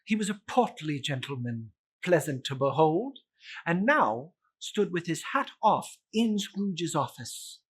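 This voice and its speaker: Male narrator, dramatic storybook reading, slow deliberate pace, theatrical, very varied pitch. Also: very animated, slightly rough. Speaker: narrator, reciter.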